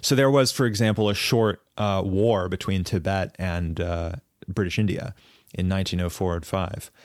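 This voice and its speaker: A man with a fairly low voice talks through a long historical explanation on a casual podcast. He is thoughtful and halting, restarting phrases as he works out his thoughts. The sound is dry and close, with no room echo. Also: fairly even intonation. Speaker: podcast co-host.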